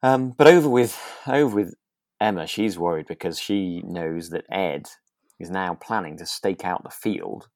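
A woman chatting as a podcast co-host. Her voice is very low and her delivery is tentative and conversational. The recording is very dry, without room sound. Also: moderate pace, a little mellow, no echo. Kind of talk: relaxed chat discussing a radio drama.

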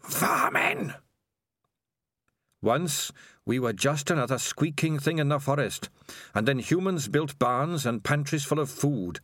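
A small, brave blind rat, male and earnest, as a man reads him in an audiobook. He speaks calmly and gently, very slowly, with a lively rise and fall. The sound is dry and close. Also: fairly high pitch, dry recording, exaggerated voice. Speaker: audiobook reader. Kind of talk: audiobook character voice.

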